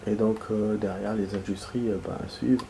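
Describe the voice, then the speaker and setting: A man with a stuffy cold talks through a game session in a loose, rambling way, stopping and restarting his thoughts. His voice sits low and mellow, with a nasal edge from the cold. A faint hiss runs under the recording. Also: fairly even intonation, moderate pace, gravelly. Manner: rambling, many fillers and restarts. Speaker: gaming video host. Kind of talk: casual gameplay commentary.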